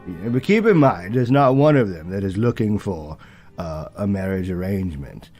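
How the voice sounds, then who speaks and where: Man playing a doddering, low-voiced old British critic. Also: animated, darkish tone, faint music behind. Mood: dismissive, musing. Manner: rambling, halting, forgetful, muttering asides. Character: doddering, opinionated old British male film critic, easily confused. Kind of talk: comic in-character film review monologue.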